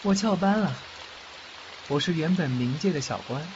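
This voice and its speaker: A man acting a fugitive underworld official and doting, cocky godfather in a fan audio drama, expressive and protective. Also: on the high side, moderate pace, natural voice. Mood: animated, explaining. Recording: muffled, faint background noise, rain-like ambience.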